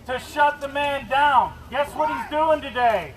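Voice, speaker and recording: A man's voice: very high-pitched, measured pace, fairly bright tone. A man giving an impassioned, confrontational protest speech through a bullhorn, addressing an official as sir. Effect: bullhorn amplification. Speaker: protester, activist. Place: outdoors on a street outside a government mansion. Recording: echoing bullhorn, noisy outdoor street recording.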